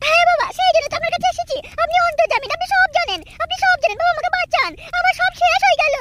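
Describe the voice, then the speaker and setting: A scheming, lustful village woman from a cartoon, with a piercing, squeaky voice pitched very high. She chatters quickly and pleads. A loud noise hangs behind the recording. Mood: pleading. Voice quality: shrill, squeaky. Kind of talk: adult cartoon comedy acting.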